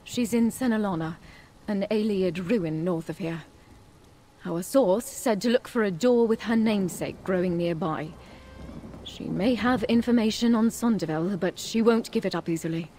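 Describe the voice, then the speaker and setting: A woman's voice: mid-range voice, mellow, a little hiss or hum, natural voice. Solemn, resolute actress-played female game ally. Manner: serious, deliberate. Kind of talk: video game voice acting.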